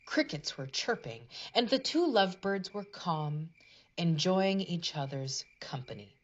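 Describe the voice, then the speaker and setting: A woman's voice: lowish voice, expressive, unhurried, lo-fi sound. A woman narrating a scripted storybook tale for a puppet show in a dramatic fairy-tale manner.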